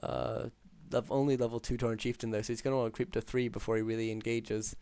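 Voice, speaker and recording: Flat-voiced man calling a strategy match. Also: medium pitch, fast, smooth, no echo. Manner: steady, filler-heavy analytical play-by-play. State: hoarse. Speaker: game caster. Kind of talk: solo esports play-by-play commentary.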